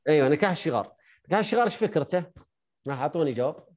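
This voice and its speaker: A man teaching a jurisprudence lesson online, briskly quizzing and correcting his students. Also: fairly high pitch, animated, darkish tone.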